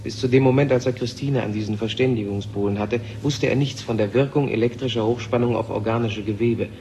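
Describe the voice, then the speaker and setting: An embittered scientist played by a male actor in a vintage radio play. He broods and speaks with sardonic intensity at a middling pitch. The old recording carries clear hiss and clicks. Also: fairly even intonation, a little fast, a little mellow, natural voice. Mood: grim, intense. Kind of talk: German radio play acting, 1970.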